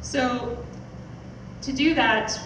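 A woman's voice: a little high, drawn-out words. A young woman giving an informal speech as host, casual, hesitant and a little self-deprecating. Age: young adult. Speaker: podcast producer hosting launch event. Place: small theatre stage, indoors. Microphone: stand mic, picked up by distant camera. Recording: very echoey, noisy hall from far off.

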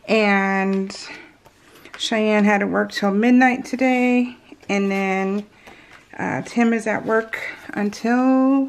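An American woman chatting casually as she narrates a craft project, rambling and giggly, with slightly unusual soft R sounds. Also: fairly high pitch, vocal fry. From California, US. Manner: giggly, rambling, self-interrupting. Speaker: craft vlogger. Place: indoors at home, at a craft table.

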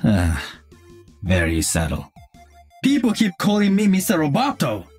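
An actor playing a calm, earnest cyborg ninja with dry humour, speaking measured, solemn video game lines. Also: male voice, on the high side, slightly rough, soft background music, heavily acted.

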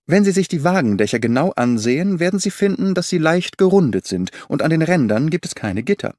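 A man voicing a brisk, confident detective who explains his reasoning eagerly, in an audiobook reading. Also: dry recording, studio quality. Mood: eager explaining. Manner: animated, briskly explaining, lifted pitch.

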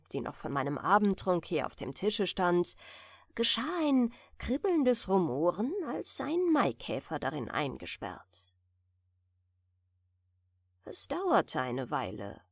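A woman narrator softly reading a bedtime story in a gentle, soothing manner. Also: mid-range voice, dry recording, clean studio sound. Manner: gentle, soothing, unhurried delivery. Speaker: audiobook narrator.

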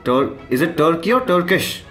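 A man's voice: a little high, lively intonation, moderate pace, fairly bright tone, faint music behind. A young man, teasing and playfully chatting with strangers on webcam from his bedroom. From India. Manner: playful, teasing, bit shy. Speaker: video host. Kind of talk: casual video chat with strangers. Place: bedroom, indoors.

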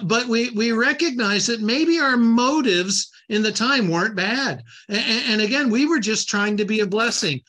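A man's voice: sits high, pushed and bright. A man in his sixties giving a Bible teaching talk, reading scripture aloud and often repeating words as he restarts his sentences. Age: 60s. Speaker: Bible lecturer.

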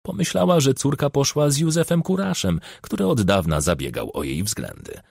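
A man's voice: mid-range voice, wildly swooping pitch, normal speed, vocal fry, darkish tone, breathy edge, no room sound, studio quality. A man reading a crime novel as an audiobook narrator, telling the story expressively.

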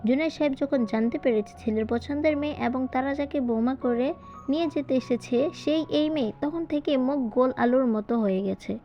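A woman's voice: high-pitched, moderate pace, dark tone. A woman reading a scripted story as a dramatic audiobook narration. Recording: dull lo-fi voiceover with music. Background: background music.

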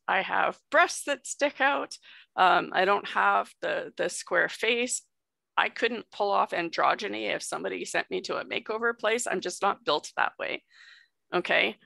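Gravelly, bright-voiced young woman explaining. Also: medium pitch, dry recording. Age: young adult. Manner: explanatory, conversational, checks in often. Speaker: guest sex educator. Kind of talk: educational presentation on video call. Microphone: video call.

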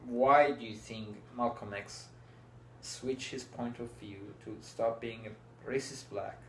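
A man's voice: mid-range voice, steady tone, slow, a bit bright. A man, passionately asking questions in a casual conversation. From Iran. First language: Farsi. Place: small room indoors. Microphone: fixed camera, seated. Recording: roomy with faint background hiss.

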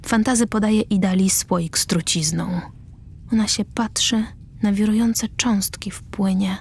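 A woman's voice: medium pitch, fairly even intonation, unhurried, slightly rough. A woman reading stage directions as the narrator of a scripted radio play.

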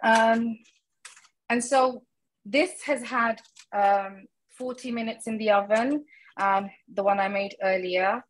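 A woman's voice: on the high side, steady tone, slow pace, rough recording. A middle-aged woman casually talking through a recipe as she cooks in a home kitchen. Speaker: guest cook demonstrating a recipe. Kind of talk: casual cooking demonstration.

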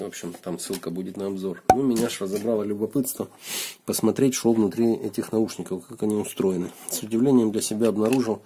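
A man with a hoarse voice from a cold, casually thinking aloud with fillers as he takes apart a gadget at his desk. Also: on the low side, mellow, a little hiss or hum. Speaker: video blogger. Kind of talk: casual hands-on gadget teardown. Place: indoors at a wooden desk.

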